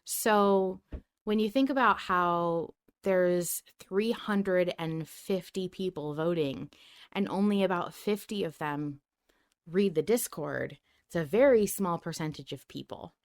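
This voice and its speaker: A woman's voice: on the low side, slow pace. A woman hosting a casual live discussion podcast, chatty and thinking aloud as she interrupts herself. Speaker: podcast host. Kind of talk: casual live discussion reading listener comments.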